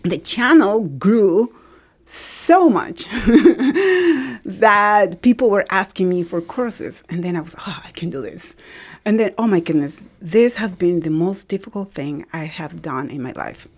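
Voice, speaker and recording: A middle-aged woman from Colombia with a Colombian Spanish accent, native Spanish speaker, chatting as a podcast guest, telling animated stories and laughing often. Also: fairly low pitch, unhurried, breathy edge. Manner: animated storytelling with frequent laughs. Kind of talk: casual chat.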